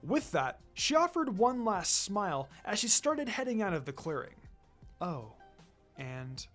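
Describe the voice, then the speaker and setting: Male story narrator, fairly high voice, lively intonation, music bed. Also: normal speed. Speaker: fan fiction reader. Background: background music.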